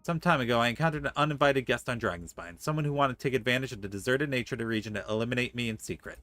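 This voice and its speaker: A man's voice: medium pitch, racing, fairly bright tone, no echo. A man livestreaming a game, reading story dialogue aloud articulately and adding playful comments. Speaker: game streamer.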